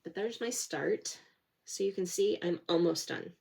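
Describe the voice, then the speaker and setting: Low, gravelly woman, nasal from a cold. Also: unhurried, darkish tone. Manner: chatty and rambling, self-deprecating, many fillers. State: nasal from a cold, sore throat. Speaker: cross-stitch vlogger. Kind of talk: casual chat to camera.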